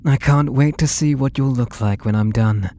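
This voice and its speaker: A British man playing an obsessive, possessive artist in love, speaking softly and breathily with tender menace in an ASMR roleplay. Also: mid-range voice, a little hiss or hum, natural voice. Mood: rapt, worshipful. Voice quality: soft and breathy, often dropping to whispers. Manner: tender, slow, menacingly intimate.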